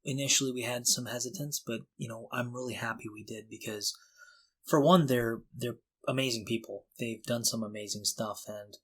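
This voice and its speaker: A man's voice: mid-range voice, fairly even intonation, normal speed. A middle-aged man co-hosting a podcast in a casual, reflective and earnest conversational style. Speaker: podcast co-host.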